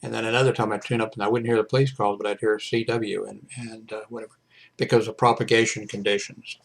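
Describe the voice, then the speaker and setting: Deep, creaky-voiced rambling American man. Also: level tone, poor audio. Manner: digressive, halting, often trailing off mid-sentence. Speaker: hobbyist video blogger. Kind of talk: casual rambling monologue.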